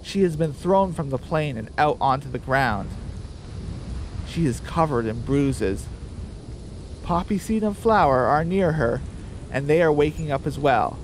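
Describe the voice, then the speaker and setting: A man narrating a scripted radio drama story in measured sentences. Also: fairly high pitch, animated, slight background noise.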